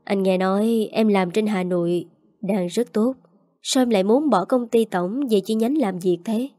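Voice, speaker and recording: Sleazy male boss, smooth and nosy, read by a lady narrator, mellow dry voice. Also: medium pitch, normal speed, no echo, faint music behind, lightly acted. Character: sleazy middle-aged male office boss.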